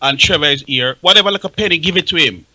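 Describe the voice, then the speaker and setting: A man's voice: on the high side, quick pace, sharp tone, no room sound. An impassioned man with a Jamaican accent speaking emphatically and repeating himself in a heated radio discussion. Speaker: talk show panelist.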